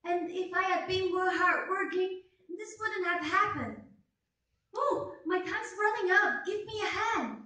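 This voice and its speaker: Chirpy, nagging schoolgirl acted by a young woman, very high bright voice, radio-play delivery. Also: lively intonation, unhurried, exaggerated voice. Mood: desperate, urgent. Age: young adult. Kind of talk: student English radio play. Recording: echoey room, distant mic.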